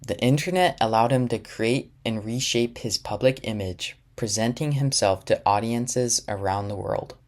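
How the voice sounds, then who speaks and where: A man reading scripted documentary narration in an even, measured way. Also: medium pitch.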